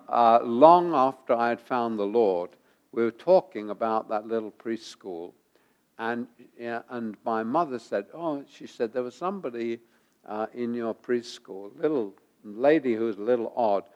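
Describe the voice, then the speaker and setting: A man preaching a sermon while he has a head cold, his voice gravelly, pausing often with ums and uhs and repeating phrases for emphasis. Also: medium pitch. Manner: halting, many ums and uhs. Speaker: pastor.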